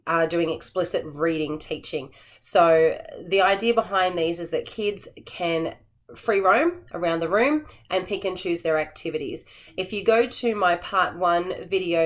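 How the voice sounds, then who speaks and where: Croaky Australian woman teacher. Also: on the low side. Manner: chatty, practical, with frequent fillers. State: croaky, tired voice. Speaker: assistant principal and teacher. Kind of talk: teaching tips video, casual explanation. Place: classroom.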